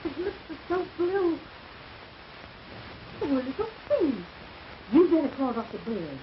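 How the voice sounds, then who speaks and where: A high-pitched, pleading young mother in a 1930s melodrama. She sounds fretful and anxious, on a muffled old film soundtrack with faint hiss. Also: very animated, unhurried. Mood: alarmed.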